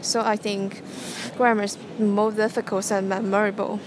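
A young woman with a Hong Kong accent speaks English as a second language, her first tongue Cantonese. She answers slowly and tentatively, humming and restarting often. Clear noise hums behind her voice. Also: mid-range voice, level tone. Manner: hesitant, many restarts and hums. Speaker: interviewee, student. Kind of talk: casual interview answers. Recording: noticeable background noise.

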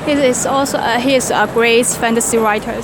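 A woman's voice: fairly high pitch, steady tone, normal speed. A young woman whose first language is Cantonese, a university student answering interview questions hesitantly with many fillers and restarts. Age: young adult. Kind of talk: casual street interview. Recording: loud traffic noise outdoors.

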